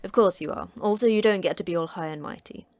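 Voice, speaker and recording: A woman's voice: on the low side, fast, darkish tone, no room sound, close to an everyday voice. A woman playing a terse, tough female facility manager who talks in blunt, clipped, sardonic lines.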